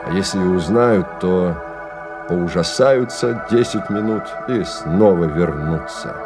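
An actor narrating a bleak science-fiction radio play as a grave, measured, dramatic literary storyteller. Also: male voice, sits low, over music. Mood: somber.

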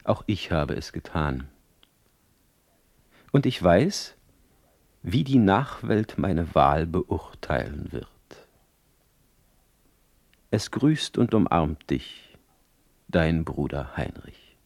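Deep, brooding ironic poet. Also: male voice, sing-song, drawn-out words, long pauses, darkish tone, no room sound, full character voice. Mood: ironic, reflective. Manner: eloquent, theatrical, ironic. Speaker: actor, narrator of a radio play. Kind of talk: literary radio play monologue, letter read aloud.

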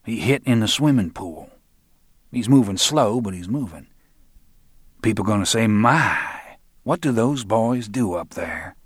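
A man's voice: on the low side, animated, husky, no room sound, studio quality. A man voicing a folksy, menacing enforcer. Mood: smug, threatening. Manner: folksy, taunting, unhurried. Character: menacing cocky male enforcer, folksy drawl, coolly threatening.

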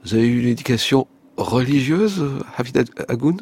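A man hosts a radio interview in a composed way. His voice is husky, and he reads quotations back to his guest and introduces the excerpts. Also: medium pitch, animated, moderate pace, darkish tone.

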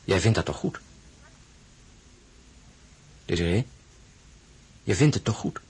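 Very husky, low crown prince. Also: male voice, expressive, slow, darkish tone, heavily acted. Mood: earnest, insistent. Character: proud marshal turned crown prince, insistent tender husband. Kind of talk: Dutch audio drama acting.

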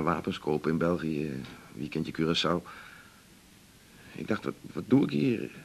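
An actor playing a lonely, teasing, self-mocking doctor in a naturalistic radio drama, talking in a wry, confiding way. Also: male voice, low-pitched, gravelly, breathy edge, exaggerated voice. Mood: melancholy, probing. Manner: wry, confiding, short clipped phrases.

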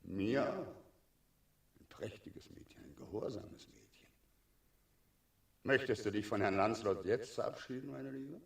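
A cynical dragon despot in a 1960s radio drama. The voice is low and creaky, set back from the microphone. He gives orders with sardonic relish, lingering over his words. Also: a little slow, pauses often, recorded from a distance. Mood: smug. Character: cynical, tyrannical male dragon ruling a town.

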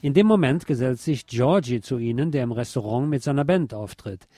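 A man's voice: mid-range voice, lively intonation, a little fast, warm tone, no echo, studio quality. A man narrating a film review as the host.

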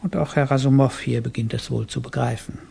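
An actor playing a courteous but coldly menacing Tsarist police chief in a German radio play, formal, probing and gravelly. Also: male voice, mid-range voice, steady tone, fairly quick, mellow, exaggerated voice. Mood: quietly menacing.

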